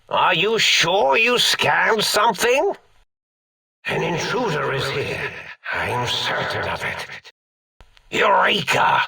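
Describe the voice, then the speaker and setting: An actor playing a manic, cackling old mad scientist villain in a video game, theatrical and grandiose, with a raspy, gravelly voice and drawn-out delivery. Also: male voice, high voice, pushed and bright, heavily acted. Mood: irritated, muttering, then grandiose, menacing.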